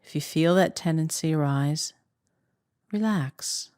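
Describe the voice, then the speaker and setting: A woman meditation teacher giving guided instructions in a calm, unhurried way, leaving long pauses between phrases. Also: deep voice, smooth, no echo, clean studio sound.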